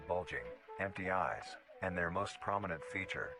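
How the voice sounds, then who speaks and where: A man reading scripted documentary-style narration in a formal, measured, explanatory manner. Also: sits low, lively intonation, vocal fry. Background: background music.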